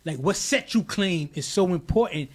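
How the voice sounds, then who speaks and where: A man, born in the United States to Haitian parents, co-hosting a podcast in an animated, emphatic way, often repeating words as he starts a thought. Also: sits high, normal speed. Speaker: podcast co-host. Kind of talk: casual podcast discussion.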